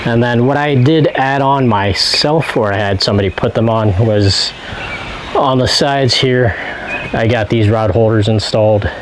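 A man's voice: mid-range voice, a little slow, a little hiss or hum, poor audio. Easygoing man giving an outdoor walkthrough. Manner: relaxed, talks to viewers with fillers while pointing things out. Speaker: channel host. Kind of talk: casual walkthrough vlog. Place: outdoors in a driveway beside a boat on a trailer. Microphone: handheld camera carried around, earlier on a tripod.

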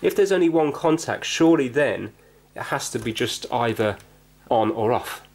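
A man's voice: mid-range voice, lively intonation, normal speed. A man hosting a hobby repair video, chatting casually and explaining as he goes, often questioning himself. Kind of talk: casual hands-on repair chat, thinking aloud. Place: indoors at a workbench.

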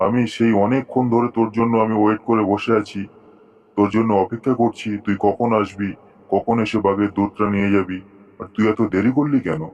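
Man voicing a low, blustering cartoon tiger uncle. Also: fairly even intonation, fairly quick, a little mellow, close to an everyday voice. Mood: impatient. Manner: blustering, impatient. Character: boastful, gullible, marriage-crazy male tiger uncle. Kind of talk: cartoon story dialogue.

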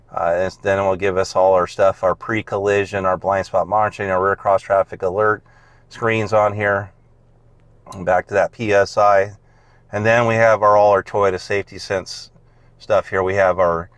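A man's voice: on the low side, a bit bright, clear, resonant tone, dry recording. A man, a car salesman, casually walking through a car's features on a dealer lot and explaining them step by step with plenty of fillers.

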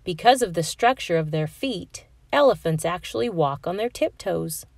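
A woman's voice: lowish voice, expressive, moderate pace, gravelly, a little mellow, no room sound. Woman reading a picture book, dry sound. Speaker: children's book read-aloud narrator. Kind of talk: scripted picture-book narration.

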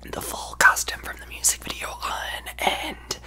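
A young man voicing a brash, self-absorbed young female pop star in a breathy, gushing ASMR whisper close to the microphone. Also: measured pace, sharp tone. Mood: boastful, breezy. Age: young adult. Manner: gushing, exaggerated, boastful, rambling. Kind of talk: ASMR roleplay, celebrity parody.

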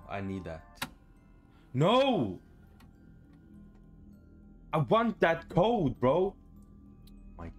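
A young man with a lowish voice does casual let's play commentary. He is sweary and lively in pitch, muttering to himself on a close mic over faint music. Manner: sweary, talking to himself, reactive. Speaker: gaming YouTuber. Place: home room, indoors.

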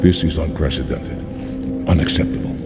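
An actor playing a proud, honorable alien warrior in a video game, formal and grave. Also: male voice, very deep voice, steady tone, normal speed, loud music behind the voice. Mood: indignant. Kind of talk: dramatic video game cutscene acting.

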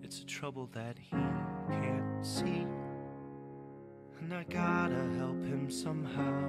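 A determined middle-aged dad singing in a stage musical. He is heartfelt and slow-paced, over loud guitar and band music. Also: sits high. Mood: earnest resolve. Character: earnest, determined middle-aged father rallying himself. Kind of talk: sung musical theatre number.

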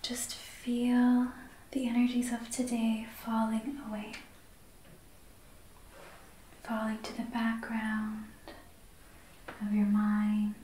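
Female ASMR Reiki host: breathy whisper, soft mellow tone, gentle and soothing, very even delivery. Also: on the high side, a little hiss or hum, low-quality recording. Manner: slow, gentle, soothing guidance. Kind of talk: soft-spoken whispered ASMR guided healing session.

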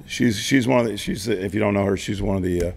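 An older man, a nonprofit head, speaking at a press conference in a folksy, joking way, his voice raspy and hoarse. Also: on the low side, fast talker. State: hoarse from yelling the night before. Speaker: nonprofit CEO. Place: large indoor hall. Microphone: podium mic.

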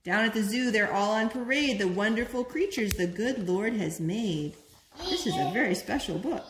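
A woman in her thirties reading a picture book aloud to small children in an expressive, singsong way at home. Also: medium pitch. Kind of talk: storytime read-aloud. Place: living room at home, on a sofa. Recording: roomy, noisy home video recording.

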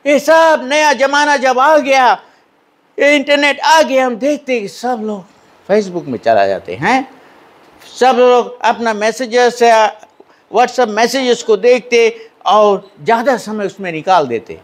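High-voiced older preacher with a Fiji Hindi accent. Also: male voice, expressive. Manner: asks hearers for assent, reads English lines slowly; lifts an arm to gesture while preaching. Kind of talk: sermon. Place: small church hall with curtains, indoors. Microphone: handheld mic at a pulpit.

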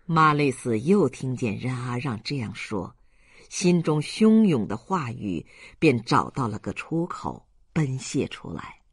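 A man's voice: mid-range voice, sing-song, a little slow, breathy edge, no room sound, clean studio sound. A man narrating a novel in a dramatic, scripted audiobook style.

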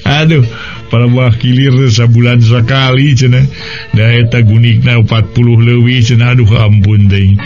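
A man's voice: medium pitch, little pitch movement, moderate pace, darkish tone. A man with a Sundanese accent narrating a radio folktale as storyteller, with chatty asides. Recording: dull lo-fi, music behind. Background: music.

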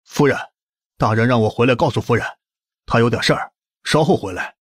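A man's voice: mid-range voice, fairly quick, no echo, studio quality, lightly acted. A man playing an anxious, deferential servant in an audiobook drama, with a respectful way of talking. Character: deferential, anxious male servant reporting to his mistress. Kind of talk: audiobook drama acting in Mandarin.

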